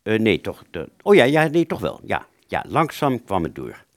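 A man's voice: on the low side, wildly swooping pitch, normal speed, dry recording, clean studio sound. A man narrating a first-person story for an audio play, hesitant and conversational, drawing out words and suddenly stressing others.

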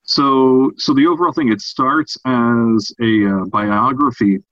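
A man co-hosting a casual podcast discussion about fiction, talking in a halting way with repeated and restarted phrases. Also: medium pitch, fairly bright tone. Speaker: podcast co-host. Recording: dull, roomy lo-fi sound.

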